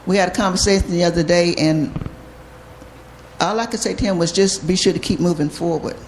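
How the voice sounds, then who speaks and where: An older audience member sharing a personal comment at a microphone in a thoughtful, measured way, recorded live in a noisy room. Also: mid-range voice. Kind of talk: personal comment at a question session. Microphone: handed audience microphone.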